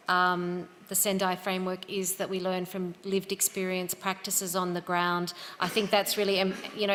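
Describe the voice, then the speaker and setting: Bright-voiced woman moderating a panel. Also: medium pitch, in a large hall. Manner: bright, with fillers like "you know" and "um".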